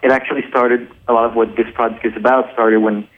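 A man's voice: mid-range voice, flat delivery, fairly quick. A man from Colombia, a documentary producer speaking earnestly as a radio guest and often restarting his sentences. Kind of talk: casual radio interview. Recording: narrow phone-like radio sound.

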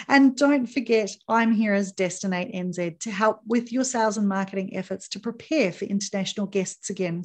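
Upbeat New woman podcast host. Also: mid-range voice. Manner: upbeat, promotional.

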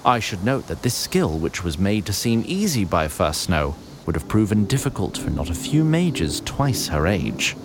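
A man's voice: sits low, big pitch swings, moderate pace, hardly any pauses. A man reading scripted fantasy narration in a literary storytelling manner. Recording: noisy background ambience.

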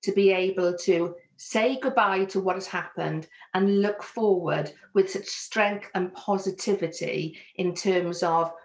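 A woman's voice: on the low side, a little slow, hardly any pauses. A woman with a Welsh accent, a business coach answering interview questions in an earnest, reflective way. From Wales. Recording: echoey room.

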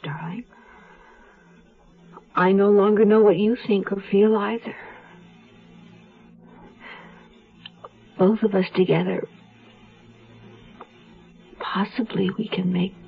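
An actress playing a devoted, world-weary wife on the run, earnest and melancholy, in a 1970s radio drama. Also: female voice, medium pitch, steady tone, takes it slowly, pauses often, phone-quality sound, a little hiss or hum. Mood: pleading, tender. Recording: thin-band 1970s radio recording with music.

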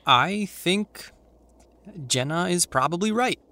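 Awkward teen boy, high for a male voice, anxious, wry, halting, naturalistic audio drama. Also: lively intonation, pushed and bright, exaggerated voice. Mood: wry, reflective. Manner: halting, dry, self-conscious.

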